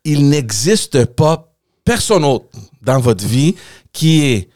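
A man's voice: a little high, sing-song, slow, a little mellow, no echo, studio quality. A man with a Quebec French accent co-hosting in casual chat, emphatic and fond of repeating his phrases. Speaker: podcast co-host.

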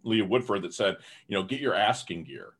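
An energetic man with a somewhat low voice, talking as a guest on a podcast. He speaks in a casual, fired-up way, leaning on "you know" as he goes. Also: fairly quick, breathy edge. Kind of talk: casual interview talk.

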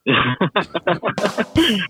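A man who organizes a rally, a podcast guest chatting in a relaxed, easygoing and upbeat way. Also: sits high, drawn-out words, slightly rough, no room sound, faint music behind. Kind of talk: casual chat. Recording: dull, lo-fi remote audio.

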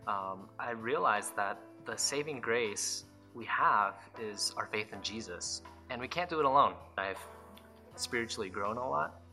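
A man's voice: lowish voice, expressive, unhurried, sharp tone, soft background music. A young adult man from Nevada, born in South Korea, sincerely sharing his testimony in an interview, with fillers and small restarts. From Reno, Nevada, born in South Korea. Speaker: church member giving testimony. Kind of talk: interview testimony for a church video.

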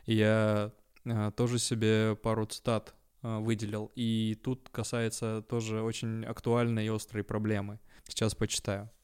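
A man from North Ossetia hosting a podcast, talking in a measured, thoughtful way with some hesitant fillers. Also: fairly low pitch, monotone, a little mellow, clear, resonant tone. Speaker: podcast host. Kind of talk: casual discussion.

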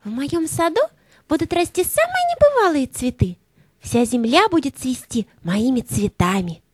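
A small fairy-tale child, excited and full of dreams. The voice is extremely high and highly animated, recorded dry for an audio play. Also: female voice, moderate pace, slightly rough, no echo, exaggerated voice. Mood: dreamy.

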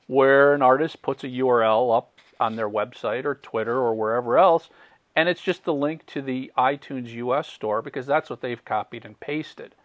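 A man's voice: medium pitch, fairly bright tone, no echo. A man hosting a music business podcast, talking in a friendly, conversational way with ums and repeated words. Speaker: podcast host. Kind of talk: casual interview talk.

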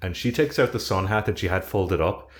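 A man's voice: sits low, a little fast. A man with a Dublin Irish accent, co-hosting a podcast and telling rambling, self-mocking stories with plenty of swearing. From Ireland. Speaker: podcast co-host. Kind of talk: casual storytelling chat.

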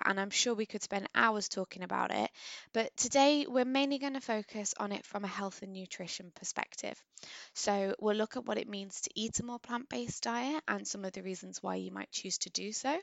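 Gravelly-voiced woman hosting a podcast. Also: mid-range voice, no echo, dull audio. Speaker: podcast host.

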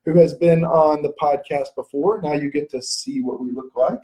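Mellow-voiced man hosting a podcast. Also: fairly high pitch, normal speed, poor audio. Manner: chatty, laughs easily, hesitant with ums. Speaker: podcast host. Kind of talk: casual interview.

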